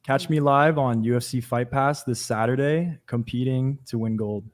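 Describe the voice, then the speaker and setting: A man in his twenties introduces himself to the table with upbeat self-promotion. His voice sits at an ordinary middle pitch. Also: normal speed. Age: 20s. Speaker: podcast guest.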